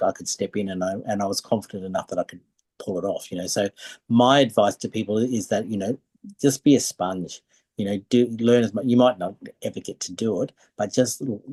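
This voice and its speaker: Low-voiced Australian older man, husky and dry. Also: normal speed, darkish tone, no room sound. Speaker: interview guest, retired dancer and performer. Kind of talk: conversational interview, reminiscing.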